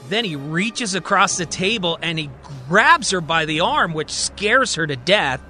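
A male storyteller narrates an audio drama with a bright, forceful voice pitched a little high. His delivery is dramatic and suspenseful. Soft music plays behind him. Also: lively intonation, a little slow. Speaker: storyteller, podcast host. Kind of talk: dramatic present-tense scripted storytelling.

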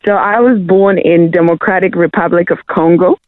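A woman's voice: lowish voice, moderate pace, crowd noise. Woman on a tinny phone line. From Zimbabwe. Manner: hesitant, many ums. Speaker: guest singer-songwriter. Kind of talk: casual interview.

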